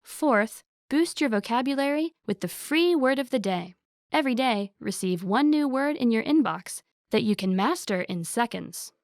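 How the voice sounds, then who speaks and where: A woman's voice: fairly high pitch, expressive, moderate pace, no room sound, studio quality. A woman reading a scripted promotional voice-over in an upbeat, salesy way. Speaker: ad announcer.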